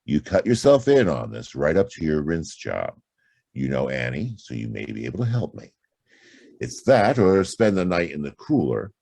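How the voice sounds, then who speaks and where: An actor playing an impatient, sardonic private detective in a brusque, slangy 1940s radio drama style. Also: male voice, a bass voice, big pitch swings, normal speed, creaky, a little mellow, slightly rough, exaggerated voice. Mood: brusque, commanding.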